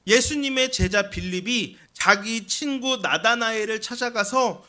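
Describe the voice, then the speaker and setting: A man's voice: high voice, unhurried, pushed and bright. A man preaching a sermon as a pastor, explaining scripture with rhetorical questions and repeated key phrases.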